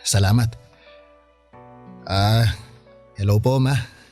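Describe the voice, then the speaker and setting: Hesitant young Filipino husband. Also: male voice, fairly low pitch, steady tone, extremely slow, darkish tone, faint music behind. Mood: respectful, apologetic. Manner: hesitant, apologetic. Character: earnest, hesitant young husband who lost his job. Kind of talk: Filipino radio drama acting.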